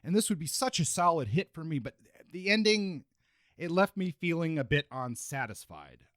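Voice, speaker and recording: A man's voice: on the high side, expressive, moderate pace, a bit bright, dry recording. Man hosting a book podcast, dry sound. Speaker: podcast co-host. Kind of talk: book review and discussion podcast.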